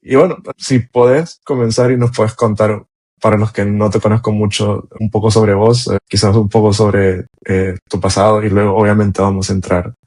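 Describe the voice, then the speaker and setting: Low-voiced guy hosting a podcast, easygoing and rambly. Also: level tone, normal speed, a little mellow. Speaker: podcast host. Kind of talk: casual interview talk.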